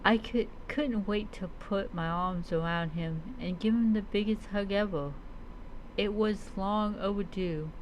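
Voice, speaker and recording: Slow, halting woman storyteller over noise. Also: medium pitch, lo-fi sound. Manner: halting reading, often stumbles and repeats words. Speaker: role-play storyteller. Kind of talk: first-person story narration, read aloud.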